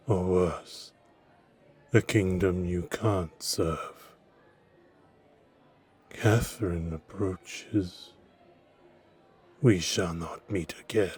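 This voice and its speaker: Very deep, slow, ominous cloaked stranger played by a man. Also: darkish tone, slightly rough, full character voice. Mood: mournful, brooding. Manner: slow, brooding, rhetorical questioning. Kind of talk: audio drama acting.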